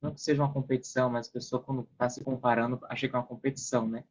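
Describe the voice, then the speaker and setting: A man's voice: medium pitch, level tone, racing, dull audio. A man with a Brazilian accent explaining things casually as a podcast guest, acting out hypothetical quotes as he goes.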